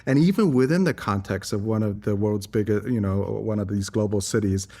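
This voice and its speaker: A man's voice: lowish voice, brisk, creaky, warm tone. A man from Singapore giving earnest, articulate, reflective answers as an interview guest. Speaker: interview guest, environmental advocate.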